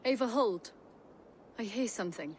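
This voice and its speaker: A woman playing a proud young huntress in a video game, calm and focused. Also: fairly high pitch, normal speed, natural voice. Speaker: game character. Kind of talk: video game dramatic dialogue. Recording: game audio with loud ambient noise.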